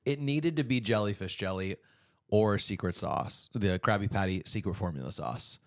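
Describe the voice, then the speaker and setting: A man's voice: on the low side, animated, normal speed. A man co-hosting a podcast, chatting casually and telling playful, jokey stories. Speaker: podcast co-host. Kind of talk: casual chat.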